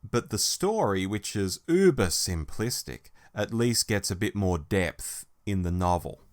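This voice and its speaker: A man with a fairly low-pitched voice co-hosts a review podcast. He speaks in a casual, conversational way as he discusses and gives his opinions. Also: expressive, measured pace. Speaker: podcast host.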